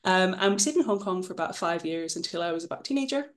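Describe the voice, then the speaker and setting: A woman's voice: lowish voice, lively intonation, quick pace. A woman in her twenties, from Scotland, casually presenting her path as an illustrator, chatty and self-deprecating, with frequent ums. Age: 20s. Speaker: guest illustrator.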